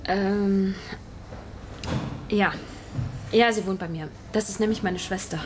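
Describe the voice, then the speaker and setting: A woman's voice: mid-range voice, steady tone, slow, heavy background noise, lightly acted. An actress playing a shy young woman scriptwriter in a radio drama, hesitant in dialogue.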